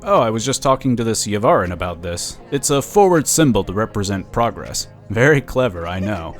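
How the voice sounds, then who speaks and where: Man playing a smug, low-voiced political schemer. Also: very animated, fairly quick, soft background music. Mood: breezy, self-satisfied. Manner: chatty, smooth-talking, condescending. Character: smug, slick, scheming male political lobbyist. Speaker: voice actor in an audio drama. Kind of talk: sci-fi audio drama acting.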